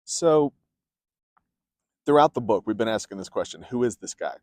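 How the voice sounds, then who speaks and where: A man's voice: on the low side, lively intonation, moderate pace, darkish tone, dry recording. Man, bible teaching, conversational, fillers, stresses key words, dry close sound. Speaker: parish teacher.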